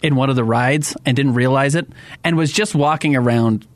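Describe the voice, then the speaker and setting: A man's voice: mid-range voice, moderate pace, no room sound. A man co-hosting a sports radio show, chatting casually and laughing as he tells stories. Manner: chatty, laughs while telling stories, teasing. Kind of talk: casual sports talk banter. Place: radio studio. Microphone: studio broadcast mic.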